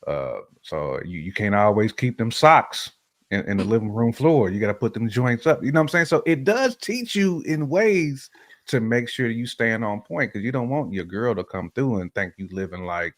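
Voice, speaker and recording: An American man chatting casually about relationships as a show host, telling stories in animated, slangy language. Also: mid-range voice, normal speed. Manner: animated storytelling with slang and hypothetical examples.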